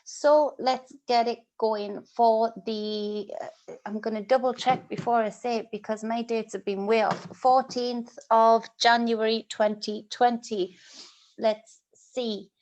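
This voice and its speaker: A woman's voice: on the high side, fairly even intonation, slow pace, a little mellow, dull audio. A woman tarot reader with a stuffy, sniffly nose, talking in a rambling way full of ums and restarts as she gives a casual spiritual reading. She has a British accent. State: congested, sniffly. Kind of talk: casual spiritual vlog monologue.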